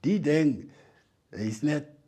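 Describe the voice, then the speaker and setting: A man's voice: on the high side, lively intonation, extremely slow, dark tone, husky, voice pushed up, exaggerated voice. A man voicing an old village man who plainly explains a humming sound. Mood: amused. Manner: matter-of-fact, imitating a humming sound.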